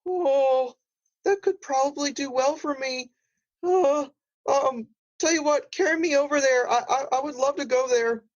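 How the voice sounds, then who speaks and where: A man's voice: measured pace, lo-fi sound. A man voicing a small, naive but scheming cartoonish crab in improvised comedy, hesitant, pleading and rambling. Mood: pleading, sly. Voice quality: high-pitched, small cartoonish voice. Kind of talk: improvised comedy role-play.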